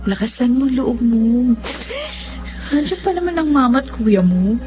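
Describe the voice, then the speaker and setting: An actress playing a sympathetic, supportive friend in a Tagalog radio drama, earnest and consoling. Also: female voice, a little high, expressive, measured pace, dark tone. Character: sympathetic young woman, supportive friend and godmother. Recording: dull lo-fi, music behind.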